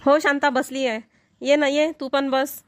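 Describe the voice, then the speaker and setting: A woman's voice: high voice, level tone, a little slow. A woman voicing a kindly, grateful elderly village mother-in-law with a Varhadi Marathi accent in an amateur cartoon comedy. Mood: neighbourly, concerned.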